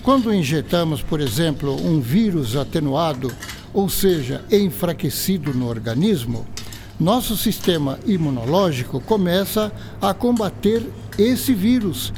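Earnest Brazilian male scientist, courteous and didactic, higher voice, radio drama with faint noise. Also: expressive, unhurried, darkish tone. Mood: patient, explanatory. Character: earnest scientist doctor, courteous, patiently explaining. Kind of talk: educational radio drama acting.

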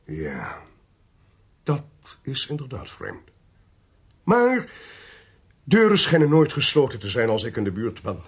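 A suave, sly official in a radio play. His voice is very husky and gravelly, and he talks in a wordy, theatrical way with wide swings of pitch. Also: huge pitch swings, slow, long pauses, full character voice. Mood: wry, urbane. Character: suave, talkative male official, politely sly and self-possessed. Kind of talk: radio drama acting.

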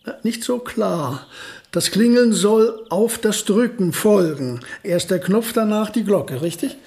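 An elderly physicist who is proud of his precision. His voice sits high, and he lays out every point with measured, pedantic care in this radio drama. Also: lively intonation, a little mellow, full character voice.

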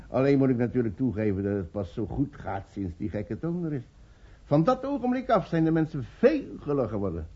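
An actor playing a carefree, boastful beggar in a radio drama, with a husky voice and folksy manner. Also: male voice, medium pitch, sing-song, brisk. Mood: gleeful. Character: gleeful, boastful male beggar, brazen and carefree. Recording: dull old radio recording.

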